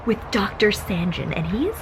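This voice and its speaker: A woman's voice: medium pitch, animated, moderate pace, a little hiss or hum, poor audio. A young woman vlog host, upbeat and giggly, interviewing a guest outdoors at a festival with a handheld mic. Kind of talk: bubbly casual interview.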